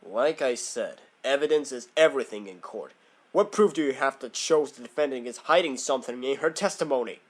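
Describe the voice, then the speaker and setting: A man's voice: mid-range voice, expressive, a little fast, lightly acted. A man playing a stern, demanding prosecutor in a fan courtroom audio drama, speaking formally and insistently. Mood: stern, challenging.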